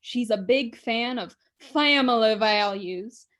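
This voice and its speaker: A gleeful, scheming she-devil played by a woman speaks straight to the audience in a theatrical monologue. The voice is on the high side, with a sardonic, conversational delivery that gloats over human misery. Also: slow, fairly bright tone. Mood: mocking, smug. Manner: sardonic, gloating, conversational, given to chuckling asides.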